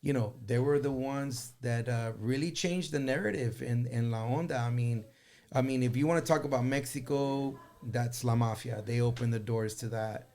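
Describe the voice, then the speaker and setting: A male musician speaking as a guest in a casual conversation. His voice sits at an average pitch, and he makes his points in an earnest, emphatic way. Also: moderate pace, a little mellow. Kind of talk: casual chat.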